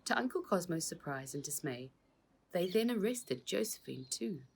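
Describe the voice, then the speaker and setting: A woman's voice: fairly low pitch, sing-song, moderate pace, creaky, breathy edge. A woman reading her own children's novel aloud as scripted narration, acting out the dialogue expressively.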